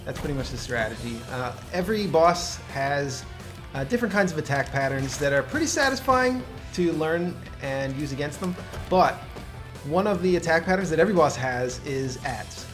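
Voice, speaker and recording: A man's voice: a little high, animated, normal speed. A man giving casual, explanatory game commentary as a host guiding another player. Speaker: gameplay host and guide. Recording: game music behind.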